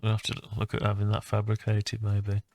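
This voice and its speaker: A man talking through his own design project informally, thinking aloud with hesitant, halting phrases. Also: lowish voice, completely flat delivery, darkish tone, dry recording, clean studio sound. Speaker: hobbyist maker presenting own design project. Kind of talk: informal screen-recorded CAD design walkthrough.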